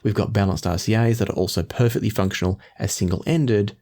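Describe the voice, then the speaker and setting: A man's voice: lowish voice, fairly quick, warm tone. A man presenting a product review, explaining the gear in a conversational, walkthrough way.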